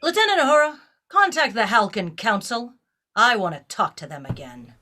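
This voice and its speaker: Woman acting high-voiced, animated, commanding starship captain. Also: moderate pace, full character voice. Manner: crisp, imperious, clipped orders. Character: commanding female starship captain, authoritative, guarded. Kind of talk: audio drama acting.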